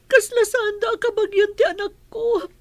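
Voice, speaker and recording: An anguished mother, acted by a woman in an Ilocano radio drama. Her voice sits extremely high, and she pleads tearfully, full of desperation for her child. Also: level tone, normal speed, a little mellow, dry recording.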